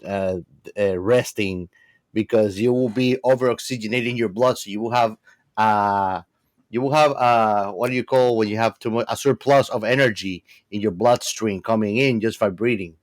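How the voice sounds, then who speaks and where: A man's voice: fairly low pitch, fairly even intonation, moderate pace, dry recording. A man co-hosting a podcast in casual discussion, working his way to the point in roundabout phrases. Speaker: podcast co-host.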